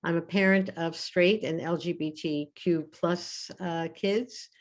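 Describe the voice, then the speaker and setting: Older woman, hesitant and low-pitched. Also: fairly even intonation, slow. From Brooklyn, New York. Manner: hesitant, many fillers. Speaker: family therapist. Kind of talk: casual self-introduction.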